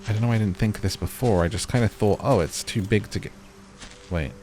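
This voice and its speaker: A man's voice: fairly low pitch, fast, a little mellow, faint music behind. A man doing casual gaming commentary, chatting and musing to himself and to viewers as he plays and reads out on-screen text. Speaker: gaming video host.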